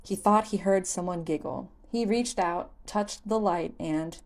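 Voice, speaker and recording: A woman's voice: on the low side, unhurried, a little mellow. Woman narrating a fan fiction audiobook.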